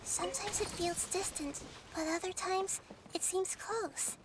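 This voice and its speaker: A woman voicing a wise, earnest child goddess in a video game, speaking in a soft, thoughtful manner. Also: extremely high pitch, a little slow, background noise. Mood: hushed, wary.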